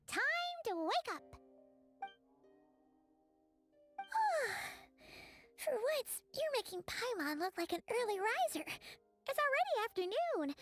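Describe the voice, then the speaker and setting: A woman voicing a tiny, excitable, childlike fairy companion in a video game, chattering and bubbly. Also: extremely high pitch, full character voice. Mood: cheerful. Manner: bubbly, chattering, refers to self by name. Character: tiny excitable childlike floating fairy companion, female, third-person talker.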